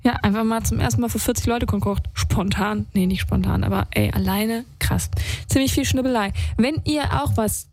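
Female call-in radio host, higher-pitched, informal and chatty, very dry close studio sound. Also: moderate pace, a little mellow, no echo. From Saarland, Germany. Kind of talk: casual live phone-in chat.

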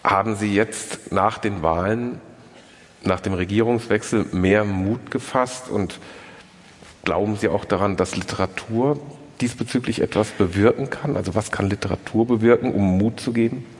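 A man moderating a formal interview, asking long, measured, polite questions. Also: lowish voice. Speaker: interviewer, event host. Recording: long hall reverb.

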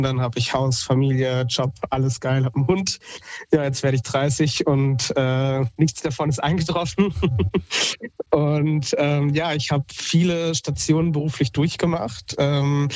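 A man's voice: fairly high pitch, a little mellow, no echo, highs cut off. Hesitant young man calling in from home. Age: 20s. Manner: hesitant, many filler pauses. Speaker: caller. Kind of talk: casual chat. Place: at home on a couch.

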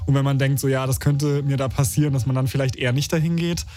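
A man's voice: mid-range voice, fast. Hesitant male radio co-host. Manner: relaxed, hesitant with many fillers. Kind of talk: casual radio talk show chat.

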